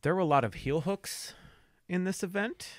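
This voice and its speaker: A man's voice: high voice, moderate pace, dry recording. A man co-hosting a podcast in casual chat, swearing casually and teasing, recorded on a close mic. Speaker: podcast co-host.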